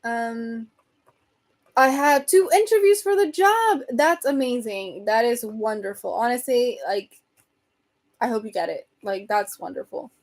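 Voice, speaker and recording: A woman hosts a relaxed livestream, chatting loosely with her viewers and laughing easily. Her voice sits a touch high and has grown hoarse from a long stretch of talking. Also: measured pace. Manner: chatty, rambling, reads and answers comments, laughs often. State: voice getting hoarse. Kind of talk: casual livestream chat.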